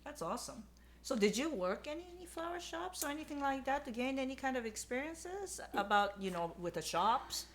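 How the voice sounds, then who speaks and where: Lively, chatty woman interviewer. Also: sits high, brisk. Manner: chatty, enthusiastic, stumbles on questions. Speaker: host. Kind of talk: casual interview.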